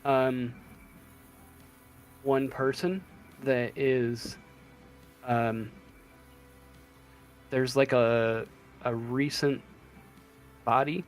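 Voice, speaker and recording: Halting male game master over music. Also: medium pitch, steady tone. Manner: halting, piecemeal descriptions. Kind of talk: narrating a tabletop role-playing game. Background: music in the background.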